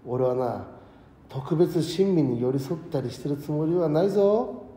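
A man acting an ageing, modest schoolteacher in an audio drama, speaking as a reflective, principled and gently frank mentor. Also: a little high, animated. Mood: reflective, earnest. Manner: reflective, measured mentor talk. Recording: echoey hall reverb, music underneath.

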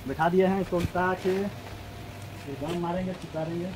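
A young man in a mattress workshop, explaining each step while he works, with loud music playing over his voice. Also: high voice, fairly even intonation, normal speed, background noise. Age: young adult. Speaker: factory worker explaining mattress making. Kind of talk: casual how-to explanation.

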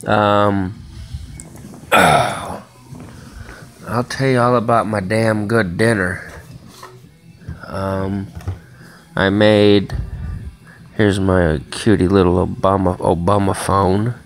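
Man, low voice, drawling, rambling, swears a lot, handheld phone, small room. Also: flat delivery, very slow, pauses often, soft background music. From Chicago area. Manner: rambling, swears often, many fillers. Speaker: vlogger. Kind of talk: casual rambling vlog. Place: small indoor room at home. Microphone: phone camera held in hand.

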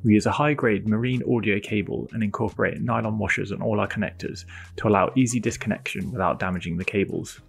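A man with a lowish, creaky voice reads scripted product narration. He is measured and explanatory, with light music playing behind him. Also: fairly even intonation.

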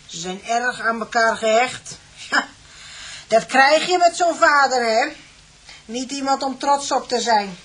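A woman's voice: fairly high pitch, expressive, unhurried, a bit bright. A woman playing an uneasy, superstitious farm landlady in a radio drama, giving hesitant, ominous warnings. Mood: grave warning.

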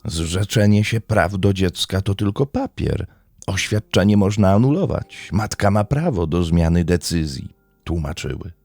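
A man narrates a scripted crime story in a low, mellow voice. His melody rises and falls widely while he keeps a measured storytelling manner, pausing for drama. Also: expressive, dry recording. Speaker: true-crime podcast narrator.

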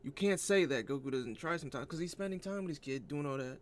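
Male online commentator, voice a bit high, casual and argumentative, on a slightly noisy home recording. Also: fast talker.

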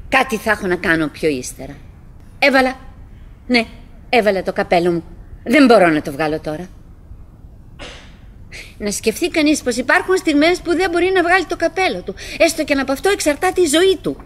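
An actress playing a chatty, wistful wife who clings to cheer, rambling and prompting herself in a theatrical radio-play monologue. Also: female voice, on the high side. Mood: bright, musing. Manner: rambling, self-prompting, swooping between coaxing and musing.

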